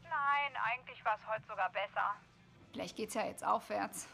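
An actress in a dubbed TV series playing a weary, caring younger sister, frank and subdued. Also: female voice, on the high side, moderate pace, vocal fry, noisy background.

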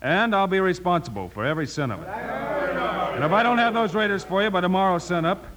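A man playing a confident western lawman hero in an old radio drama, speaking in a calm, measured, self-assured way. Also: high voice, a crowd in the background. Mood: firm. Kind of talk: 1950s radio western acting.

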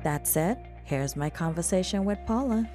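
A woman's voice: lowish voice, expressive, moderate pace, over loud music. A woman speaking as a podcast host, introducing and interviewing in a prepared, presentational style.

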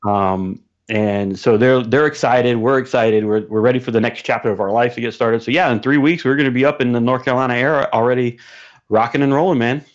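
A man's voice: mid-range voice, a little fast. A man as a podcast guest, telling stories casually in a colloquial, vivid and emphatic way over muffled livestream audio. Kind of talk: casual storytelling chat.

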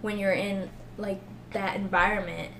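A young woman co-hosting a podcast whose first language is Thai, speaking softly and hesitantly, often trailing off. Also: medium pitch, steady tone, measured pace. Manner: soft-spoken, hesitant, trailing off. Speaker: podcast co-host. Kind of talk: casual podcast chat. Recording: echoey room with audible noise.

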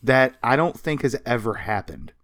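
A man's voice: medium pitch, lively intonation, moderate pace, gravelly, a bit bright, no room sound. A man co-hosting a podcast in casual chat, talking with a fan's enthusiasm. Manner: enthusiastic self-described history nerd. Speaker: podcast co-host.

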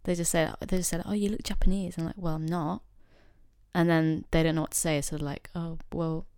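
A young woman from England, talking casually and wryly with many pauses and fillers. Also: deep voice, level tone, darkish tone, clear, resonant tone, no room sound, clean studio sound. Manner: reflective, wry, lots of fillers and quoted voices. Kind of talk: casual conversation.

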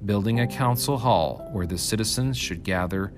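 A man reading a mythical story aloud as scripted narration, in a measured, dramatic storyteller's manner with emphatic phrasing. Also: lowish voice, music in the background. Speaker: reader, narrator.

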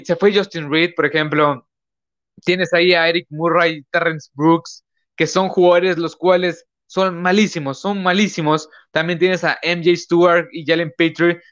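A young man hosts his podcast in a slightly high voice. He rambles casually, piling up fillers and correcting himself mid-sentence. The sound is dull and lo-fi. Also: a bit bright. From northern Mexico. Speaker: podcast host. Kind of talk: casual live-stream talk.

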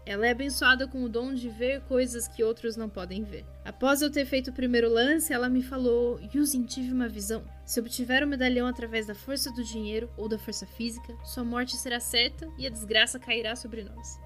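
A woman playing a courteous gray-bearded gentleman. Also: a little high, fairly even intonation, fairly quick, few pauses, faint music behind, close to an everyday voice.

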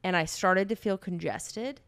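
A woman's voice: on the low side, a little slow, fairly bright tone, dry recording. A woman co-hosting a podcast, telling a personal story in a playful, self-mocking way. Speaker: podcast co-host. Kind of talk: casual storytelling.

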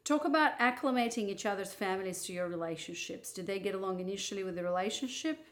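A woman's voice: mid-range voice, lively intonation, fast talker. A woman from Moldova hosting a casual couple's chat, frank and opinionated about relationships. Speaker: podcast host.

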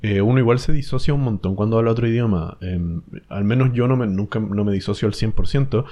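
Low-voiced Chilean man, rambling. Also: fast talker, dark tone. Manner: thoughtful, rambling with fillers. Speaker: podcast host. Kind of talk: casual chat.

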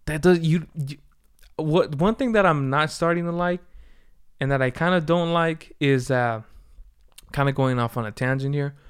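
A man's voice: a little high, moderate pace, clean tone. A man of thirty, a podcast host, giving a rambling, candid solo update, swearing freely and sounding tired from poor sleep. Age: 30s. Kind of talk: casual solo update monologue.

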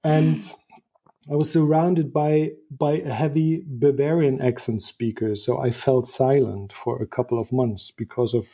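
A German man in his fifties talks through his career as an interview guest. His voice is mellow and a little husky, and he thinks aloud, restarting and doubling words. English is not his first language. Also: medium pitch, steady tone, measured pace. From eastern Germany. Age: 50s. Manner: thoughtful, frequent restarts and repeated words. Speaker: guest, publisher. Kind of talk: conversational interview.

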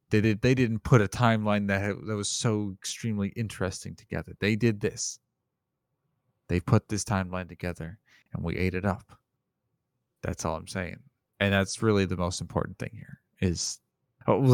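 A man's voice: lowish voice, dry recording, clean studio sound. A man co-hosting a casual podcast, talking enthusiastically and rambling, often restarting sentences. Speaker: podcast co-host. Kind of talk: casual chat.

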